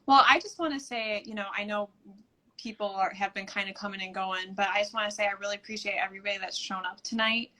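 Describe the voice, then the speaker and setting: Bright-voiced, chatty woman guest. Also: medium pitch, fairly even intonation, fast talker, rough recording. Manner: eager, self-deprecating, chatty. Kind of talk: casual chat on a live stream. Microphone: video call.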